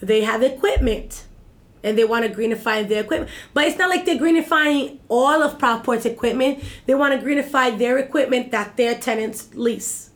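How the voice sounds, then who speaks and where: Emphatic high-voiced woman activist. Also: normal speed. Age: 30s. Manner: emphatic, repeats herself with lots of "right?" checks. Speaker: guest, executive director of an activist group. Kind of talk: casual interview conversation.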